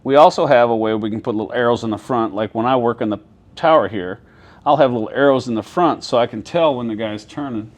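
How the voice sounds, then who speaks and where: A man's voice: mid-range voice, fast talker. Plain-spoken man explaining things step by step. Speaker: air traffic controller. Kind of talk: casual walkthrough of equipment. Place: inside an airport control tower.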